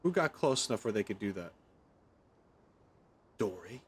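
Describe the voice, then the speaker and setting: Animated man giving casual game commentary. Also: fairly low pitch, moderate pace, noisy background. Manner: informal, reacts aloud to the game. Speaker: gaming streamer.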